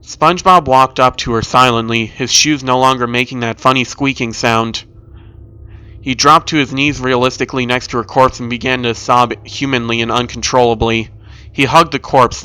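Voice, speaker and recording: Bright-voiced man narrating a horror story. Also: medium pitch, clear, resonant tone, no room sound. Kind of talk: scripted first-person horror story narration.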